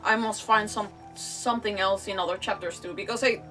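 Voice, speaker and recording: A woman's voice: mid-range voice, steady tone, brisk, bright, forceful tone. A woman streamer giving casual gameplay commentary in a rambling, self-deprecating way. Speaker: gaming streamer. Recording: slightly distant mic, faint music behind.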